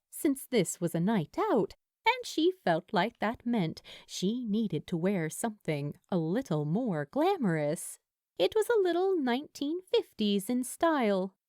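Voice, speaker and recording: A woman reading a story aloud as a narrator, in a measured, expressive storytelling manner. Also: mid-range voice, dry recording, studio quality. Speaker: fan fiction narrator.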